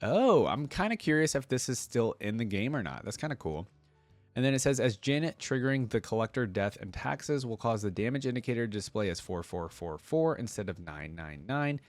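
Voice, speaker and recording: A man's voice: medium pitch, sing-song, brisk, no room sound, faint music behind. A man hosting a reaction video, reading wiki text aloud and adding casual, curious comments. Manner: casual, curious asides between read passages. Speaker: host of a game lore reaction series.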